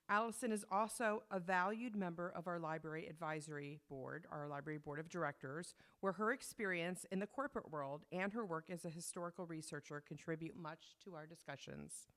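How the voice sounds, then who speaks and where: A woman introducing a guest speaker at a library event in a formal, measured way, reading into a microphone. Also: fairly low pitch, a bit bright, smooth. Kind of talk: read introduction. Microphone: microphone at a podium.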